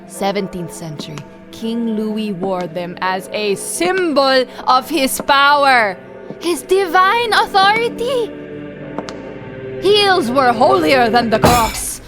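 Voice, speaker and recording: A woman's voice: high voice, lively intonation, slow, over music. A woman playing a flamboyant, confident and teasing best friend in an audio drama, theatrical. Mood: grand, lecturing. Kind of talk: full-cast audio drama acting.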